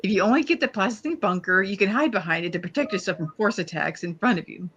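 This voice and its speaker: Lady player explaining game stuff, kinda tentative, muffled lo-fi audio, mid pitch. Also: quick pace, fairly bright tone, slight background noise. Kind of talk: casual explanation in a roleplay game. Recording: dull lo-fi voice audio.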